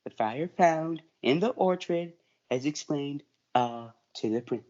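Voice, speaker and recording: A woman's voice: low voice, measured pace, mellow. A female student reading scripted narration for a school reader's theatre play. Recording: dull lo-fi audio.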